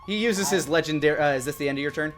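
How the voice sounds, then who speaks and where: A man's voice: on the high side, very fast. Man running a tabletop game, music behind. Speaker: dungeon master. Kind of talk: improvised tabletop game narration and rulings. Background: background music.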